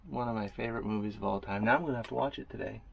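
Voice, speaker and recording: A man's voice: fairly low pitch, fairly even intonation, racing, vocal fry, lo-fi sound, a little hiss or hum. A man who installs solar systems, co-hosting a camper vlog in a laid-back, joking way from inside a small camper. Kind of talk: casual chat to camera.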